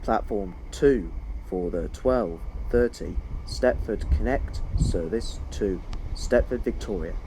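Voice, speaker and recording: A man reading scripted train platform announcements in a formal, measured manner. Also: on the low side, dark tone, a little hiss or hum, poor audio.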